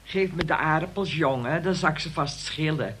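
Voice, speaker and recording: A woman's voice: low voice, normal speed, fairly bright tone. An actress playing an older, dependent mother who coaxes her grown son in a martyred way in a radio play. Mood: coaxing. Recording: faintly noisy radio play recording.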